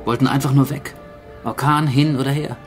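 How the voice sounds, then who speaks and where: An actor playing a gruff, wry stranded archaeologist in a naturalistic radio drama, brusque and matter-of-fact. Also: male voice, mid-range voice, fairly even intonation, normal speed, soft background music, close to an everyday voice. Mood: grave, explaining.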